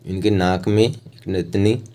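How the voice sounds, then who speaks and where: Man, Indian accent, very mellow low voice, tutorial narration, informal, halting short phrases. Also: steady tone. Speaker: rangoli art tutor.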